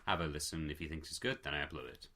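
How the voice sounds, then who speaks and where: Brief: male podcast co-host, very low voice, bright tone, loose rambling chat. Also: rapid-fire. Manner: chatty, rambling with fillers. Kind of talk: casual chat.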